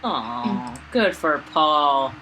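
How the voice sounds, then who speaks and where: A woman's voice: deep voice, expressive, very slow, bright, forceful tone. A woman chatting casually along with a game and reading some of its lines, reacting in short interjections. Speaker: let's play co-host. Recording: noisy makeshift mic, clicks.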